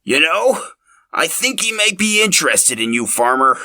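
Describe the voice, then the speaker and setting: Man voicing a folksy old hermit, bright and lively. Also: a little high, normal speed, no room sound, studio quality, pitched above the performer's own voice, heavily acted. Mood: teasing. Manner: folksy, rustic, amused and knowing. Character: friendly old hermit man living in the hills.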